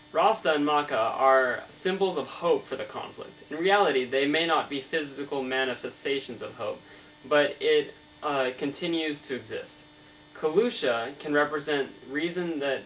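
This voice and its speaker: An earnest, learned novelist, acted by a man, answers interview questions in formal, recited sentences. He trips over the odd word. The voice sits at a middling pitch, recorded away from the mic with mains hum underneath. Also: fairly bright tone, far from the mic, close to an everyday voice, low-quality recording. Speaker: student playing an interviewed author. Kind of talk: scripted mock interview answers.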